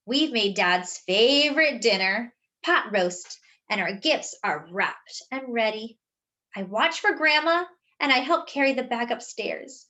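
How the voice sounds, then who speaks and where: Animated, bright-voiced woman reading a picture book. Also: a little high. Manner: animated, pausing to explain words. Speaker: storyteller reading a children's picture book aloud. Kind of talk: expressive read-aloud narration for young children.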